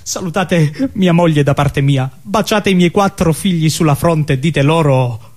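A man's voice: on the high side, measured pace, a little mellow. A man playing a fussy, melodramatic dying husband in an absurdist stage comedy. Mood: melodramatic farewell.

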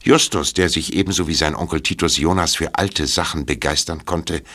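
A man's voice: rumbling low, moderate pace, sharp tone, heavily acted. A man narrating a youth detective audio drama in a scripted storytelling style. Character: male storyteller of a youth detective radio play.

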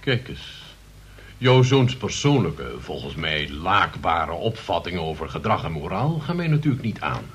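Husky, pompous moralising alderman. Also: low voice, big pitch swings, normal speed, a bit bright, full character voice. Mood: pompous disapproval. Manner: pompous, officious. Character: pompous, moralising male town alderman, an uncle. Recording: dull, muffled radio recording.

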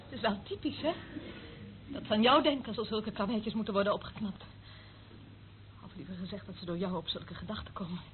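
An actress playing a haughty, prim, morally outraged general's daughter in a Dutch radio drama, speaking reproachfully. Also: female voice, medium pitch, brisk, long pauses, lightly acted. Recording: tinny, narrow-band old radio recording, faint noise.

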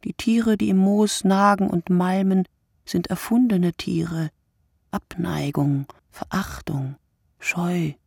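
A woman playing a brooding, solitary writer in a radio play monologue, speaking deliberately and poetically, often dropping to a breathy hush. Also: on the low side, fairly even intonation, no echo, studio quality, full character voice. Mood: intimate, poetic. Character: wakeful solitary night writer, a woman, brooding and word-obsessed.

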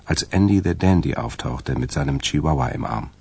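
A man with a gravelly, creaky voice, narrating a radio crime drama from a script. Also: extremely low pitch, expressive, normal speed, darkish tone, full character voice.

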